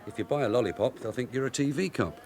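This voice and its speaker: An actor playing a shrewd, middle-aged police sergeant in a radio drama, streetwise and wry. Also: male voice, medium pitch, fast, darkish tone, slight background noise, close to an everyday voice. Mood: wry, teasing. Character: shrewd, plain-speaking veteran London police detective sergeant.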